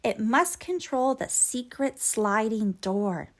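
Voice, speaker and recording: A woman's voice: on the high side, lively intonation, takes it slowly. A woman voicing a cheerful, reassuring male cartoon mouse from a children's storybook.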